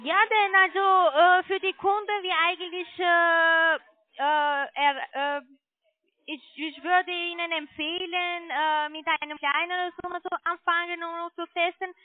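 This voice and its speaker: A non-native German-speaking woman giving a hesitant sales pitch over the telephone as a call centre agent. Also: fairly even intonation, no room sound. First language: not German. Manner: hesitant, halting. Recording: muffled narrowband phone line.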